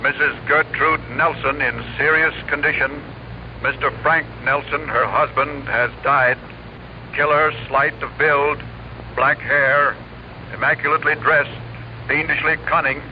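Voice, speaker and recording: An actor playing a brisk police official with clipped, formal delivery in a 1940s radio crime drama. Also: male voice, fairly high pitch, fairly even intonation, natural voice. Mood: urgent, official. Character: brisk, official Los Angeles police announcer. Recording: muffled, hissy 1940s radio recording.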